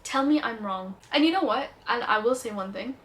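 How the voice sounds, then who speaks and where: A woman's voice: a little high, lively intonation, a little fast, a bit bright. A young woman with a light Indian accent, chatting playfully and enthusiastically as she reviews perfumes. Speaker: beauty vlogger reviewing perfumes. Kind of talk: casual product review vlog.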